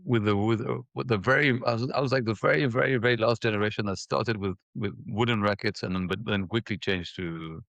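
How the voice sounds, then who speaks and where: A man in his forties from Ethiopia and Mauritius reminiscing in a casual interview, haltingly, repeating words as he thinks. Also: fairly low pitch, vocal fry, a bit bright, no echo. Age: 40s. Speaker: interview guest, former professional tennis player.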